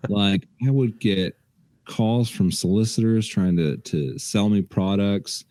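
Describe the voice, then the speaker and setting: Podcast co-host, young man, deep voice, laid-back storytelling, lots of restarts, dry studio sound. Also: takes it slowly, no room sound. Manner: relaxed, rambling, restarts a lot. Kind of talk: casual chat.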